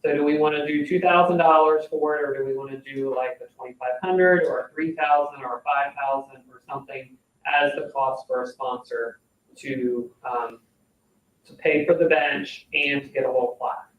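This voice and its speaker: A man's voice: mid-range voice, steady tone, moderate pace, phone-quality sound. A man who is a board member, talking informally through questions at a meeting. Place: indoor public board meeting room. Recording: echoey meeting room, distant mic.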